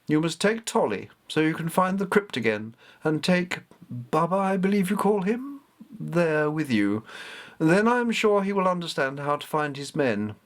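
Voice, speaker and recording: A man's voice: a little high, very animated, normal speed, pitched above the performer's own voice. A man voicing an ancient female cat goddess who speaks in a formal, commanding way.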